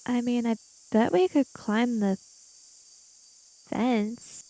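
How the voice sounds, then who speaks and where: A woman's voice: fairly high pitch, mellow, dry recording, low-quality recording. A woman chatting casually and playfully as she improvises as a player in a tabletop roleplaying game. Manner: playful, quick to joke.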